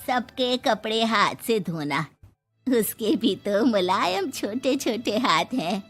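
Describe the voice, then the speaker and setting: A woman's voice: a little high, lively intonation, slow pace, fairly bright tone, soft background music, heavily acted. A woman voicing a mild, caring older mother-in-law in a family moral story, coaxing and motherly. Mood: fretting, sympathetic.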